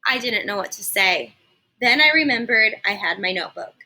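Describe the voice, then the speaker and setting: A woman reading a children's story aloud like a teacher, animated and explaining things simply for young listeners. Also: medium pitch, normal speed, low-quality recording. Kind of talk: expressive children's read-aloud with explanatory asides.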